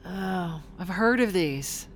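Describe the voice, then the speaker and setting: An actress playing a blunt, skeptical, streetwise bus passenger in an audio drama, speaking colloquially. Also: female voice, fairly low pitch, animated, slow pace. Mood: puzzled. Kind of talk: audio drama acting, conversational. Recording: faint background ambience noise.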